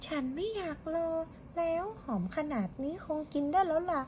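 Woman doing eager squeaky little mouse kids. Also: normal speed, a little mellow, close to an everyday voice. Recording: dull lo-fi with faint noise.

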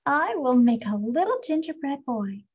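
A woman's voice: high-pitched, very animated, moderate pace, darkish tone, breathy edge, highs cut off, exaggerated voice. A woman voicing a fussy little old woman baking gingerbread, with a homely, exclaiming manner.

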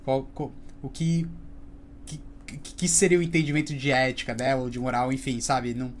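A man's voice: medium pitch, little pitch movement, quick pace, a little hiss or hum. A man hosting a reaction video, chatting casually and giving his opinions in a slangy way at his webcam. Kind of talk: casual commentary.

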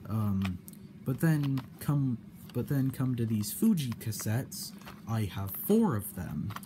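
A man giving a casual explanation in a hobby vlog, halting and often repeating his phrases. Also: mid-range voice, sing-song. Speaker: cassette hobbyist demonstrating a problem. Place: indoor room at home. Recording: clear background noise.

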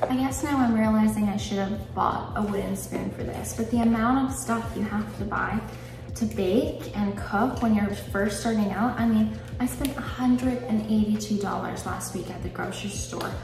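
Young woman, twenties, chatty and self-mocking, mid-pitch voice, roomy kitchen echo, mic set back from her, music playing underneath. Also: moderate pace, vocal fry, recorded from a distance. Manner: chatty, self-deprecating, talks while cooking. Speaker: lifestyle vlogger. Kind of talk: casual baking vlog chat. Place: home kitchen, indoors. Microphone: camera on the counter a few feet away. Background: background music.

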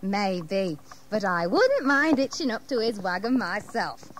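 Casting: plain-spoken, romantic-minded servant woman, very lively pitch swings, radio play. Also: unhurried, slight background noise. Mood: gossipy, wistful. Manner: folksy, gossipy. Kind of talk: audio drama acting.

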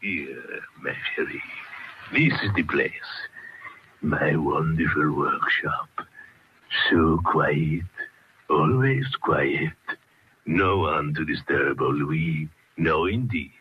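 An actor playing a crazed, eerily courteous old French hermit with a raspy voice, in old-time radio horror style. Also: male voice, rumbling low, takes it slowly, full character voice. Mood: obsessive pride. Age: older. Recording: dull, lo-fi old radio recording.

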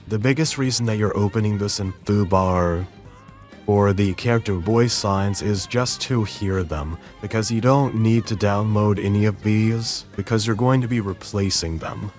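Male tutorial host, fairly deep voice, casual and instructional delivery, faint music underneath. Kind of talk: step-by-step software tutorial narration.

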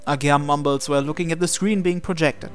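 A man's voice: a little high, steady tone, fairly quick. Man reading a story aloud over music. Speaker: gaming video host reading subtitles aloud. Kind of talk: reading visual novel text aloud. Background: game music.

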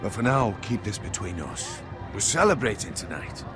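An actor playing a proud middle-aged medieval lord in a fantasy game, speaking in a measured, formal, commanding way. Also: male voice, fairly low pitch, very animated. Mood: calm, fatherly. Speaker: video game character actor. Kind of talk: cinematic fantasy game dialogue. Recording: game music behind the voice.

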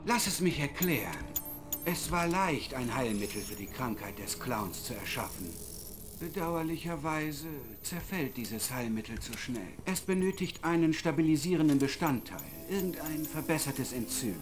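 A man voicing a cold, menacing scientist villain in a cryo suit for a game dub, speaking in a measured way. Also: fairly high pitch, lively intonation, music in the background, natural voice. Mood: explaining coldly.

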